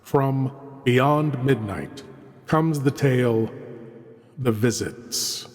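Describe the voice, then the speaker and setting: A man playing a theatrical, grandiose horror host, introducing dark and uncanny tales in an ominous, deliberate way. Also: medium pitch.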